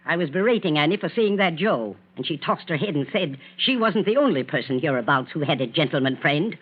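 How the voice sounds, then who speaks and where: Imperious older matriarch, swooping voice. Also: female voice, low voice, expressive, a little fast, breathy edge. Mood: stern, accusing. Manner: haughty, sharp, commanding. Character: imperious, scornful older mother, mistress of the house. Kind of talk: 1940s radio acting. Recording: dull vintage 1940s radio recording.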